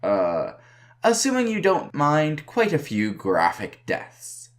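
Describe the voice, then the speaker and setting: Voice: mid-range voice, huge pitch swings, slow. A reviewer narrating a scripted review in an informal, wry and emphatic way. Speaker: video game reviewer.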